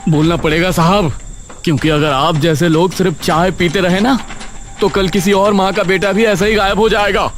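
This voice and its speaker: An earnest young cartoon hero, voiced by a man who pleads urgently and stands up to authority. His voice sits fairly high for a man, with light background hiss. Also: steady tone, normal speed, close to an everyday voice. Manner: pleading, then confrontational. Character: earnest, determined young man seeking help.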